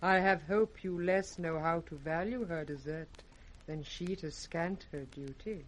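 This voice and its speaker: An actress playing a king's composed, cold grown daughter in radio Shakespeare, formal and measured. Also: fairly low pitch, a little hiss or hum, close to an everyday voice, low-quality recording. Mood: cool reproof. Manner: measured, formal, coolly reasoning. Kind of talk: 1960s British radio Shakespeare acting.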